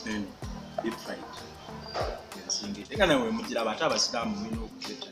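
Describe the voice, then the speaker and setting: A man's voice: lowish voice, fast. A man, joking and teasing in casual food-tasting chat. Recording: echoey room with loud music. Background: loud background music.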